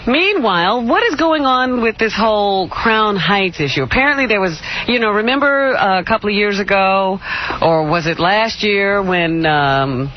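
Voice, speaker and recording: A woman's voice: mid-range voice, animated, moderate pace, a little hiss or hum. A woman co-hosting a radio talk show, reading the news with wry amusement and ready laughter. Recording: dull lo-fi radio broadcast.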